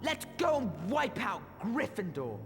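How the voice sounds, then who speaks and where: An actor playing an eager, scheming schoolboy bully's sidekick in a video game, excitable and gloating. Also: male voice, high voice, unhurried, full character voice. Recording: long hall reverb. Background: music.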